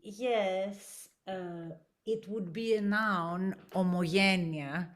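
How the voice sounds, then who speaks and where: A woman's voice: medium pitch, steady tone, extremely slow. A woman whose first language is Greek, speaking thoughtfully in a class discussion and searching for her words. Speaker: student.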